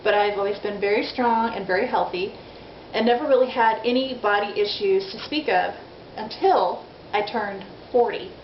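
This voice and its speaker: A woman's voice: medium pitch, normal speed. Chatty woman in her forties, echoey room. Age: 40s. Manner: chatty, candid, self-deprecating. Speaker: vlogger. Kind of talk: casual video diary. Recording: dull lo-fi audio in an echoey room with faint noise.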